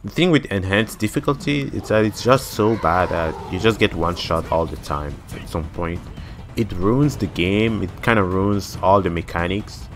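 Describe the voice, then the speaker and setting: A man's voice: deep voice, normal speed, over music. A man in his twenties, a native French speaker with a French accent, giving casual, blunt gameplay commentary and swearing freely. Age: 20s. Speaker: gamer.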